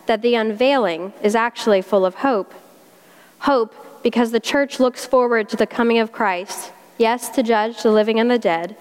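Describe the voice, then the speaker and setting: A woman with a South Carolina accent delivers a sermon in a folksy, conversational way, with homey Southern turns of phrase. Her voice sits at a middle pitch for a woman. A long reverb trails each phrase, as if she is speaking in a church sanctuary. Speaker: preacher.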